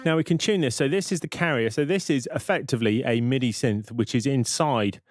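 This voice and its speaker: A man giving a casual, matter-of-fact software tutorial as a plain-spoken voice-over. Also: mid-range voice, fairly quick, faint music behind. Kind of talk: casual tutorial narration over screen recording.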